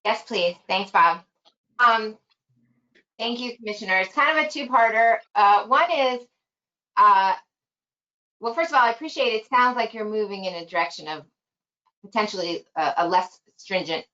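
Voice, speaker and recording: A woman's voice: mid-range voice, normal speed, long pauses, sharp tone. Inquisitive woman on a muffled call. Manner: inquisitive, thinking aloud. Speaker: board chair. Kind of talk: asking a question in an online meeting.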